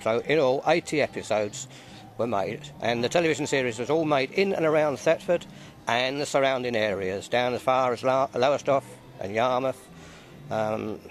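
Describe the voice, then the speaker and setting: A man talks at an even middle pitch, stumbling and repeating words as he explains local history. He is recorded outdoors at an event. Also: normal speed. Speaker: interviewee, society member. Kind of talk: casual outdoor interview.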